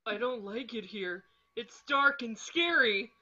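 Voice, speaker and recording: Woman as a high, whiny, dim cartoon starfish. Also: animated, takes it slowly, bright, forceful tone, lo-fi sound, slight background noise, heavily acted. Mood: scared, whiny. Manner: whiny, simple-minded. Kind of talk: amateur table read of a cartoon script.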